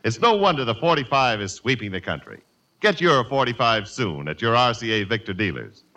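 A man announcing in polished, emphatic, effusive 1950s radio style, as host and pitchman. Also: very animated, brisk, heavy background noise.

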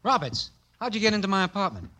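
An American actor playing a troubled, impulsive champion boxer in a 1950s radio drama, emotional in delivery. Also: male voice, sits high, very animated, fast talker, heavy background noise. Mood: wary, bitter. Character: hot-headed, troubled champion prizefighter, a man. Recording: vintage radio recording with music.

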